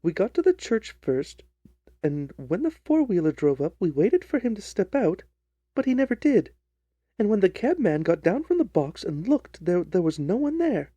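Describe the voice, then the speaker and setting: A man's voice: high-pitched, big pitch swings, normal speed, warm tone, husky, no room sound, full character voice. A man voicing an earnest, trusting, distressed young jilted bride in a dramatised story reading. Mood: earnest, recounting. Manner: earnest, wordy, pleading.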